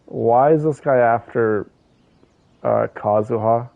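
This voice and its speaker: A man's voice: mid-range voice, takes it slowly, gravelly, no room sound, narrow, tinny audio. A man chatting casually as he livestreams a game, laid-back and affectionate with his viewers. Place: bedroom, on a bed. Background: soft game music.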